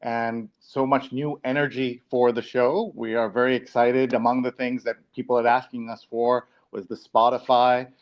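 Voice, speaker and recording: A man's voice: medium pitch, level tone, normal speed, hardly any pauses. A man from New York hosting a live talk show in a casual, conversational presenting style. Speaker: show host. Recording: dull, lo-fi online stream audio.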